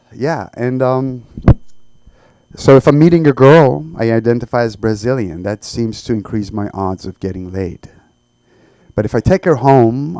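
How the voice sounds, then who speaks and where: A man from Iran is doing a stand-up comedy set. His voice is slightly high and smooth, with a conversational, halting delivery. The sound is close and dry. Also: darkish tone, dry recording. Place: small comedy open mic.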